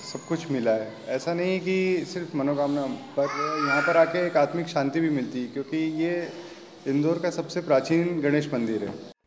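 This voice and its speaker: A man, a devotee giving personal testimony in an interview. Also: a little high. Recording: noisy, reverberant on-location interview.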